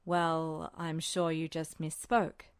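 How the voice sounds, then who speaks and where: Woman putting on a low, smug male officer. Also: flat delivery, takes it slowly, clean tone, no echo, clean studio sound. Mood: calm, puzzled. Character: smug, calm adult male officer, dryly teasing. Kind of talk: audiobook character voice.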